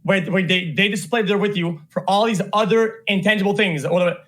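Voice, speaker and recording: A man with a high voice who speaks very fast, swearing and tripping over his words. He sounds excitable and keeps repeating himself as he cuts in on a livestream. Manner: excitable, profane, stammering. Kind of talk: livestream debate.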